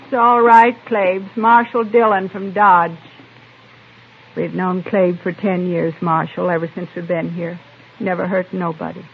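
An actress playing a hard, stoic frontier homestead wife with husky, folksy, clipped speech in an old radio western. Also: female voice, fairly low pitch, steady tone, unhurried, a little hiss or hum. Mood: bitter, stoic. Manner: blunt, folksy, clipped phrases. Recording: narrow-band vintage radio recording.

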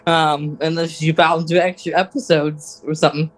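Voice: mid-range voice, flat delivery, moderate pace. A podcast co-host chatting casually in a hesitant, rambling way. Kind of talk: casual chat.

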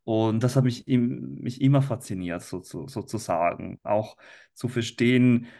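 An Italian man in a relaxed podcast conversation, with a medium-pitched voice and an Italian accent. He talks at a very slow pace and often hesitates as he searches for the right phrase. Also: vocal fry. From Italy. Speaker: language teacher, interview guest.

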